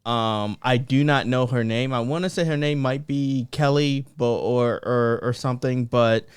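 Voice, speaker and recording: A young man co-hosts a laid-back podcast, rambling and poking fun at himself between fillers. His voice is smooth and resonant, recorded dry and close with no room sound. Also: mid-range voice, normal speed, dry recording. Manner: rambling, self-deprecating, many fillers. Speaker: podcast co-host. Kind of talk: casual podcast chat.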